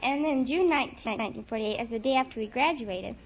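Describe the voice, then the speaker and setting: A young woman from New Orleans tells chatty, detailed anecdotes as a radio quiz contestant. Her voice is fairly high and girlishly earnest. The 1940s recording is dull and noisy, with a studio crowd behind her. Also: quick pace. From New Orleans, Louisiana. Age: young adult.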